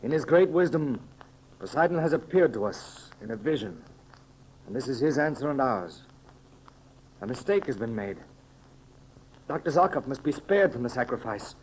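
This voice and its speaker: Actor as anguished radio hero, noisy old recording. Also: male voice, medium pitch, sing-song, a little mellow, natural voice. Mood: grave. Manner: earnest, halting, melodramatic. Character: noble, anguished young adventurer hero turned emperor. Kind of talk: 1930s radio serial acting.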